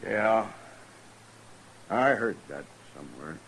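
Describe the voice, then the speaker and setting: An American actor playing a broken, fearful gunfighter in a 1950s radio western, with a halting, pleading frontier drawl. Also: male voice, lowish voice, lively intonation, fairly bright tone, low-quality recording. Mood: defeated, bitter. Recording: old radio recording with faint noise.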